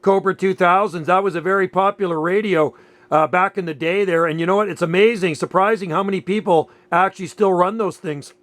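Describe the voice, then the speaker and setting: Mature male radio hobbyist, fairly high pitch, enthusiastic folksy chat over two-way radio. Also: fairly even intonation, brisk, a bit bright. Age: middle-aged or older. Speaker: radio operator.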